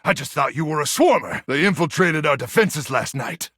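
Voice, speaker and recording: A man voicing a frazzled, paranoid soldier microwave in a video game, gravelly and intense, full of awkward military bluster. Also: fairly high pitch, expressive, normal speed, fairly bright tone, dry recording, clean studio sound. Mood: apologetic, frazzled. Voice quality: gravelly, raspy. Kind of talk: animated video game voice acting.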